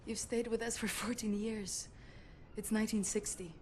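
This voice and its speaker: Actress as a soft, solemn Polish woman. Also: mid-range voice, fairly even intonation, moderate pace, a little hiss or hum, natural voice. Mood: gentle, grave. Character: gentle, solemn young Polish nurse. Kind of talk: video game cutscene acting.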